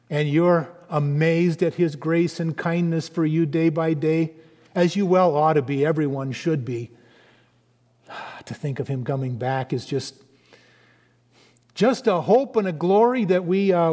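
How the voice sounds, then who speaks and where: A man preaching a sermon as a pastor, animated and earnest, with chatty asides to the congregation. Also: a little high, long reverb tail.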